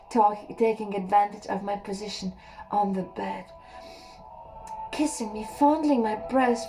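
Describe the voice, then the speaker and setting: Woman narrator for an erotic audiobook: warm, unhurried, hushed and close. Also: fairly high pitch, low-quality recording. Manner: slow, intimate reading with soft pauses. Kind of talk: first-person erotic story reading.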